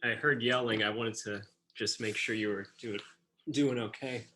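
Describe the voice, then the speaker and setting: A man's voice: on the low side, steady tone, moderate pace, pushed and bright, a little hiss or hum. A man playing a nervous, eager-to-please young boyfriend in a staged reading, hesitant, stammering and apologetic. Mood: concerned. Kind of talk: staged reading over video call.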